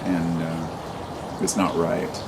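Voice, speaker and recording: A man in his late thirties speaks in a deep, low voice, rambling and impassioned as he vents in an unscripted vlog. He swears freely and often restarts his sentences. Steady traffic noise runs clearly behind him. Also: very slow. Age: 30s. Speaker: vlogger. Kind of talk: unscripted monologue to camera.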